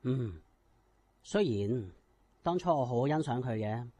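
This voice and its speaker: An actor with a Hong Kong Cantonese accent playing an aggrieved, self-important company boss in a radio drama. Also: male voice, mid-range voice, lively intonation, slow, mellow, no room sound. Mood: brooding, calculating.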